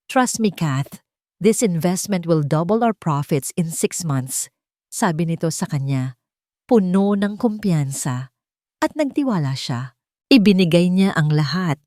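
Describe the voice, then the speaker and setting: A woman storyteller giving a dramatic, expressive scripted narration of a romance drama on a close mic. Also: lowish voice, no room sound.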